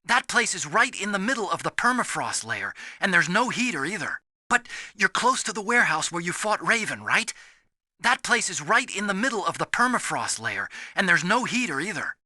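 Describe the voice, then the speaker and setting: An actor playing an earnest, nerdy young American engineer in a video game, explaining things emphatically with anxious sincerity. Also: male voice, high voice, big pitch swings, normal speed, pushed and bright, dry recording, exaggerated voice. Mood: urgent instructing. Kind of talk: dramatic video game voice acting.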